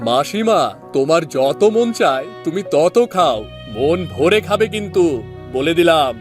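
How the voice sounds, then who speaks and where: Young village husband, somewhat high pitch, kind, humble, earnest, cartoon fairy tale, faint music bed. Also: male voice, expressive, a little slow. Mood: generous. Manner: earnest, affectionate, familiar.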